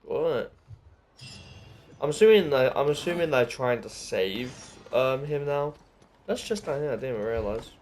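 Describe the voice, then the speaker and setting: A man's voice: mid-range voice, expressive, unhurried, a little mellow. A man commenting casually on a game as he plays, musing and speculating. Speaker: streamer.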